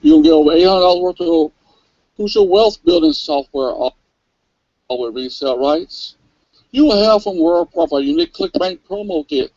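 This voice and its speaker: Dude reading a sales script, super gravelly and high, dry dull sound. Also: male voice, a little mellow, dry recording. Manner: brisk scripted pitch, upbeat. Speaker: co-host reading the offer. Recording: dry, dull lo-fi webcast audio.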